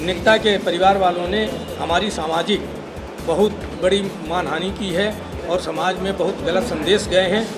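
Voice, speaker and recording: An older man giving a statement in an interview, speaking in deliberate, halting phrases. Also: high-pitched, fairly even intonation. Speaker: interviewee, father-in-law giving his side. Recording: loud music over the speech. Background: loud music.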